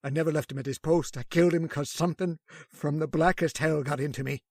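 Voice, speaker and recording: An actor playing a guilt-stricken older uncle who confesses to murder, stammering and panicked, in old-time radio drama style. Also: male voice, fairly high pitch, fairly even intonation, fast talker, darkish tone, no echo, clean studio sound. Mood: anguished confession.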